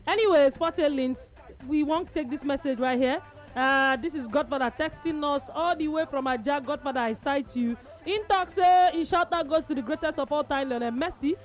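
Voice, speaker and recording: A woman's voice: sits high, normal speed. A woman with a Nigerian accent hosting a radio sports show, teasing her co-host and reading listener texts in pidgin-laced English.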